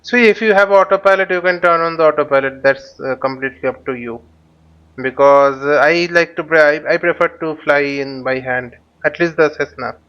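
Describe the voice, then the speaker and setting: Higher-voiced man with a clear, smooth tone. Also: lo-fi sound. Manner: halting, repeats and rephrases phrases. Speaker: flight simulator instructor and channel host. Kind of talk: live tutorial commentary while flying a simulator.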